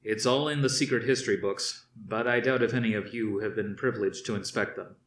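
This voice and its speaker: A man reading aloud, voicing a stern older fleet commander who speaks slowly and firmly to his officers. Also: lowish voice, fairly even intonation, a bit bright, echoey room, distant mic, slight background noise, lightly acted. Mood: explaining. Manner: slow, measured, commanding.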